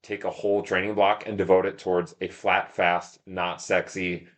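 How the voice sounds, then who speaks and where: A man with a fairly low-pitched voice is a guest in a casual podcast interview. He tells stories in a loose, rambling way, often thinking aloud and talking himself down a little. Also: little pitch movement, moderate pace, fairly bright tone. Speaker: podcast guest, ultramarathon runner and shop owner. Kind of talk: casual interview talk, telling stories about racing.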